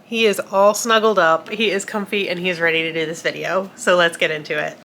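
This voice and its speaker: A woman's voice: on the low side, fairly even intonation, brisk, a bit bright, soft background music. A woman with a cold and a nasal, congested voice, chatting casually to camera about books. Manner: chatty and self-deprecating, with frequent fillers and laughs. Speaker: book vlogger.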